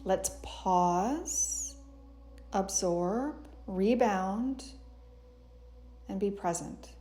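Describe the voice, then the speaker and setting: A woman guiding a yoga meditation in a soothing, gentle, unhurried way, as a teacher giving calm instructions. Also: mid-range voice, a little hiss or hum. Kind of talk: guided meditation narration.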